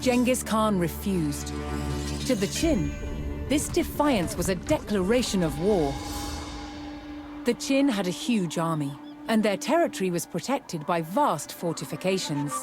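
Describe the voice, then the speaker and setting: A woman reads scripted documentary narration at a slow, deliberate pace. Her mid-pitched voice carries a measured sense of drama, like a storyteller setting out history. Music plays clearly behind her. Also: animated. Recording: music under the voice. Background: background music.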